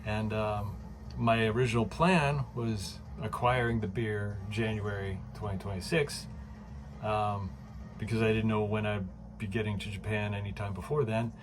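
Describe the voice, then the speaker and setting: A man vlogging casually at home into his phone camera, chatty and excitable, with many fillers and frequent laughs. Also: lowish voice, normal speed, background noise.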